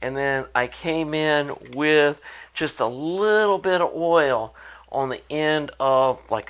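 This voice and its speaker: Man, somewhat high pitch, informal repair walkthrough, hobbyist presenter, conversational, unscripted explaining. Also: fairly bright tone.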